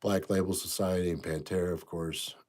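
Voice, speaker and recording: A man's voice: low voice, fairly even intonation, a little fast. A man in his fifties, a bit congested, casually chatting on a livestream and answering viewer comments in an easygoing, rambling way. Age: 50s. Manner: easygoing, rambling, trails off quietly. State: congested, stuffy nose. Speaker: livestream host.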